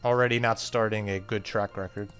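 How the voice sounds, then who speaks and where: A man's voice: fairly low pitch, fairly even intonation, fairly quick, fairly bright tone. A man hosting a game playthrough, casually commenting and reading text aloud, sometimes tripping over words, with game music behind him. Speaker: let's play host.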